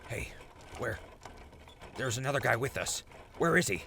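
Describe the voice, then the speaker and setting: A bewildered, anxious captive played by a man with a gravelly voice that leaps up and down. He questions urgently in a wasteland radio play, over mechanical noise. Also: mid-range voice, big pitch swings, normal speed, pushed and bright, full character voice. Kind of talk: post-apocalyptic audio drama acting.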